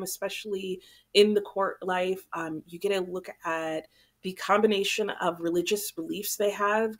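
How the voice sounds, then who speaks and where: A young woman hosting her book channel, chatting casually to camera while a little sick, so her voice sounds slightly off. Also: fairly low pitch. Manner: chatty, relaxed, rambling.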